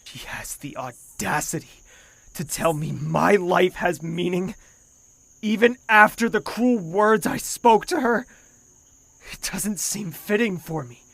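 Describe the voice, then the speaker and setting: Man playing a brooding young royal, gravelly, quiet and reflective, dry close mic, audio drama narration. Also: sits high, slow, no echo, full character voice. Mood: wistful. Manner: quiet and reflective, sometimes whispering. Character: brooding young male royal, lonely and introspective.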